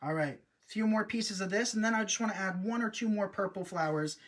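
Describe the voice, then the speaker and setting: Chatty breathy man hosting a craft live. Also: high voice, brisk, a bit bright. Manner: chatty, upbeat, addresses viewers often. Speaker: host, wreath designer.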